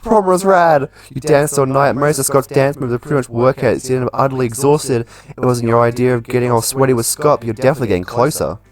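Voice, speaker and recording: A man's voice: mid-range voice, quick pace. A man doing casual, chatty let's play commentary in a playful way, reading game text aloud as he goes. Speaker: gaming channel host.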